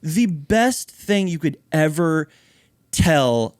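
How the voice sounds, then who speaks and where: Swearing podcast dude, high-ish animated voice, talks excitedly, dry mic. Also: male voice, slow pace, no echo. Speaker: podcast co-host. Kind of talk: casual chat.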